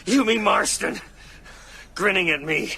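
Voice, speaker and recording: Radio drama role: overwrought, haunted man, bright strained voice with creak. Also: high-pitched, unhurried, a little hiss or hum, exaggerated voice. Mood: shaken.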